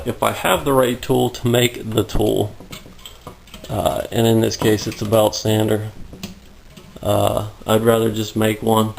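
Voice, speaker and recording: A man giving a casual tour of his home workshop, rambling through his explanations with lots of fillers, out of breath and getting raspy in the heat. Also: medium pitch, a little mellow, a little hiss or hum. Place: hot, humid home workshop.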